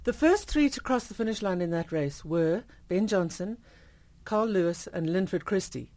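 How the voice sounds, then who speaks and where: A woman's voice: fairly low pitch, expressive, a little mellow, dry recording. South African woman reading sports news. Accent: South African English. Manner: brisk, crisp and clear. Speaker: radio sports news presenter. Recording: dry studio mic.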